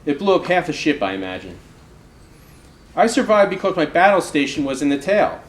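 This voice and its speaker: A man, an author, reads an oral history aloud in measured storytelling with emphatic phrasing. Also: fairly high pitch, very animated. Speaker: author reading from their book. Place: live reading in a roomy indoor space. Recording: roomy, echoing live recording with noise.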